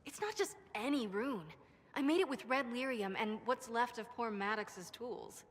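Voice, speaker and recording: An awkward, keen craftswoman played by a woman talks shop in a fairly high voice. She sounds flustered and technical, in fantasy game style, with long reverb on the voice. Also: normal speed, lightly acted. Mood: eager, proud. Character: eager, awkward female magical craftswoman. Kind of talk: dramatic game voice acting.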